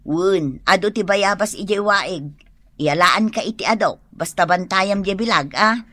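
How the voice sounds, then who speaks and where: A woman's voice: mid-range voice, unhurried, sharp tone, dry recording. A woman playing a prank-loving village wife and mother in an Ilocano radio comedy drama. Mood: motherly. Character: teasing village wife and mother who loves pulling pranks.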